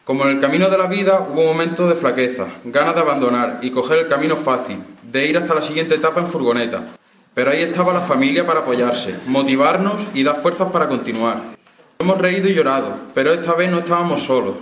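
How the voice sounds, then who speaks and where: A man's voice: fairly high pitch, quick pace, a bit bright, reverberant, a little hiss or hum. A man, an inmate, reading a solemn, earnest reflection aloud. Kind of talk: read reflection.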